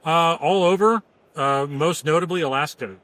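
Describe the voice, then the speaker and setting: A man's voice: fairly high pitch, normal speed, a bit bright, dry recording, low-quality recording. A man from near Philadelphia, a former Marine and company founder, telling his story in an easygoing, matter-of-fact way. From Pennsylvania, near Philadelphia. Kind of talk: casual interview.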